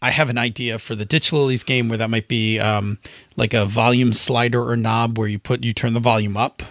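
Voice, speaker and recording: A man's voice: medium pitch, steady tone, brisk, fairly bright tone, no echo, clean studio sound. A man co-hosting a podcast, chatting casually and emphatically as he gives advice, often checking in with the listener. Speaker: podcast co-host. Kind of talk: casual chat about tabletop game advice.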